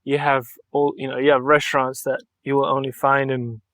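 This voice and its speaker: A young man with a mid-range voice chats in a relaxed way as a podcast guest. He speaks earnestly and thoughtfully, letting his sentences run on. The sound is dry and close, with no room echo. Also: mid-range voice, a little fast. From Mallorca, Spain. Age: young adult. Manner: earnest and reflective, thinks aloud in long flowing sentences. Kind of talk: relaxed interview conversation.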